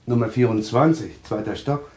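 An actor in a German radio play playing an anxious, troubled man haunted by visions, speaking haltingly with a husky voice. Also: medium pitch, darkish tone, slight background noise, lightly acted. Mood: subdued. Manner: halting, haunted, repeating himself.

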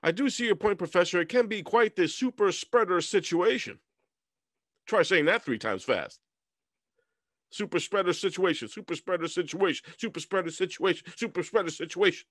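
Man doing parody newsreader, male, high voice, polite, earnest, formal delivery, dry close sound. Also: big pitch swings, moderate pace, a bit bright, no room sound. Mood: agreeable. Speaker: news host. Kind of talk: mock news anchor delivery.

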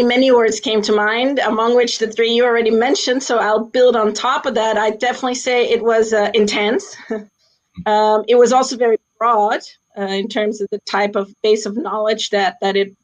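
A woman's voice: fairly high pitch, little pitch movement, normal speed. A middle-aged woman from Austria who grew up in Switzerland, talking casually and thoughtfully on a video call from a small room, with many fillers. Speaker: sports executive, alumna guest. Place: small indoor room with a plain wall. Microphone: laptop webcam on a video call. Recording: slightly dull video call audio.

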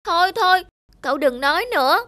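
A woman's voice: very high-pitched, lively intonation, brisk, sharp tone, exaggerated voice. A woman voicing a haughty, vain young female porcelain bowl in a cartoon, snooty and dramatic. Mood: upset. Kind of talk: animated cartoon character acting. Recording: music behind the voice.

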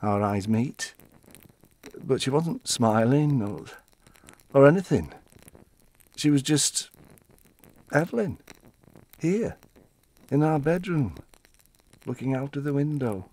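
An actor playing a gentle, wistful, fussily defensive elderly widower in an audio-play monologue, telling his story in a reflective, halting way. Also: mid-range voice, lively intonation.

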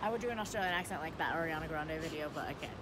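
A woman's voice: low voice, very fast, noisy background. A young woman vlogger joking and teasing her friends outdoors by the sea, recorded on a handheld phone. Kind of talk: casual chat. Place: outdoor seaside walkway.

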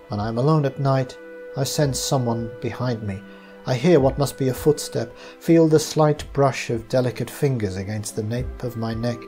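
A man reading his own first-person story as scripted narration, in a measured, literary way. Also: medium pitch, a little mellow.

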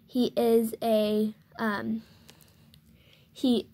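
Young girl's high, slow toy tour. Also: little pitch movement, warm tone. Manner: hesitant, many ums and self-corrections. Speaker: channel host giving a toy barn tour. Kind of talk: unscripted show-and-tell tour. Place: indoors at a toy model farm. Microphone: handheld phone filming close.

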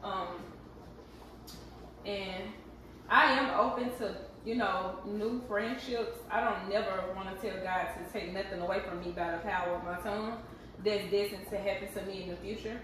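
A woman's voice: medium pitch, moderate pace, distant mic, slight background noise. Echoey, candid woman in her thirties. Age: 30s. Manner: candid, animated, talks straight to viewers. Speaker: vlogger. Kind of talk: casual chat while cooking. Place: home kitchen.

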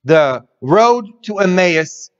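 Slow animated priest in echoey church. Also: male voice, sits high. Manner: animated storyteller, gestures a lot. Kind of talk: sermon. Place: church interior, large. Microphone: webcam in front. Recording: muffled with church reverb.

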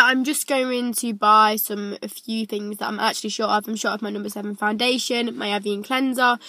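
A young woman with a croaky cold voice, chatting casually to a camera held at arm's length. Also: on the high side, pushed and bright. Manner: rambling, chatty, lots of "like" and "so". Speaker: vlogger. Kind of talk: casual vlog chat. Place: bedroom, then parked car. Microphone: handheld camera at arm's length.

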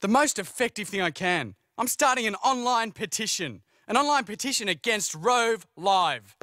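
A disgruntled young cartoon housemate with an Australian accent , played by a man. He sounds stubborn and defensive, with a bright edge and a close, dry sound. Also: sits high, animated, moderate pace, no room sound.

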